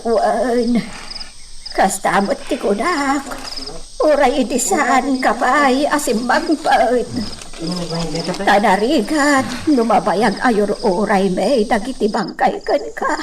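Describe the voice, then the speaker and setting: A woman's voice: on the high side, slow. An actress playing a concerned, motherly older village woman in a radio drama, with an Ilocano accent. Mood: solemn, caring. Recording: distant mic, noisy outdoor-insect ambience.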